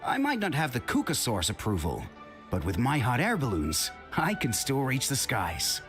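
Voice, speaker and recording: A soft-spoken, resigned young man. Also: mid-range voice, huge pitch swings, moderate pace. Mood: wistful. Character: gentle young male balloon operator, modest and resigned. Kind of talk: video game voice acting. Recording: game music underneath.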